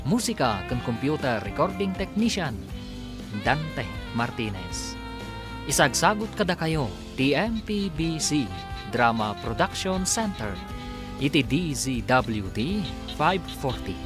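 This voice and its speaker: Brief: male radio announcer, sweeping expressive delivery, music bed. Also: on the high side, slow. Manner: formal, announcing with sweeping emphasis.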